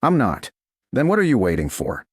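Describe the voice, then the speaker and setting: A proud noblewoman in a medieval romance audiobook, read by a man, flustered but daring. The delivery is husky and lively in pitch, with pointed, teasing challenges. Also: moderate pace, no room sound, clean studio sound. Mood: challenging, breathless.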